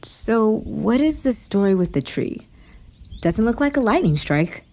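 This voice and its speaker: Skeptical, competitive teenage superheroine , acted by a woman: mid-pitched, very expressive intonation, audio drama. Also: a little slow. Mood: curious. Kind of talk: scripted audio drama acting.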